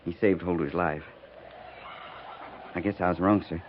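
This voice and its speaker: A man's voice: low voice, fairly even intonation, moderate pace, lightly acted. A man acting a dutiful, earnest cavalry sergeant, humbled and respectful, with formal military address in 1950s radio drama. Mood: subdued, earnest. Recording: muffled 1950s radio recording with hiss.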